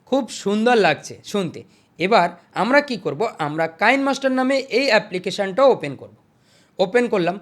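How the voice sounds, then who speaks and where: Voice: mid-range voice, lively intonation, normal speed. Step-by-step tutorial host with mid-range voice. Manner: instructional, repeats each step before the next. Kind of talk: step-by-step screen tutorial narration.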